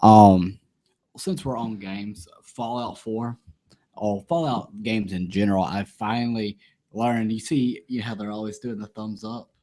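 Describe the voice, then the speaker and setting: A man with a somewhat low voice chats casually on a podcast. He tells stories with energy and laughs his way through them. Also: measured pace. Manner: animated, laughs while telling stories. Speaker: podcast co-host. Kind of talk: casual chat, storytelling.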